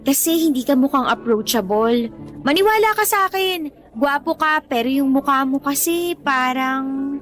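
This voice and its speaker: A woman's voice: high voice, lively intonation, moderate pace, soft background music. A young woman playing a friendly, frank, talkative college student in a Tagalog radio drama. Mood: frank, teasing. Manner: candid, teasing, talkative.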